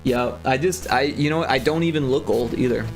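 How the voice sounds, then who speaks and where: Casual streamer, man in his forties, gravelly voice, chatty and rambling, soft music underneath. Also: mid-range voice, brisk, poor audio. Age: 40s. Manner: rambling, chatty, lots of fillers and restarts. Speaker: livestreaming game developer. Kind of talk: casual livestream chat.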